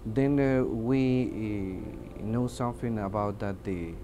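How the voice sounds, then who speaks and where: A man's voice: on the low side, slow pace. A man with a Mexican Spanish accent, a native Spanish speaker, giving interview testimony as an air traffic controller, hesitantly searching for words. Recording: music under the voice. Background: background music.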